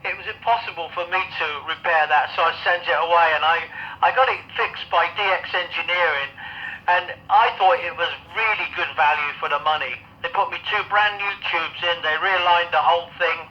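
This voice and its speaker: An English man talking casually and haltingly as an amateur radio operator over a two-way radio. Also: a little high. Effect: two-way radio transmission. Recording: dull, band-limited amateur radio audio.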